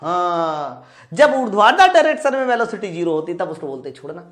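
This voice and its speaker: A woman's voice: on the low side, sing-song, brisk. A woman teaching an exam-prep lesson step by step, asking questions and answering them herself while addressing students informally. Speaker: physics teacher for exam preparation. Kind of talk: live lesson, working through practice questions step by step.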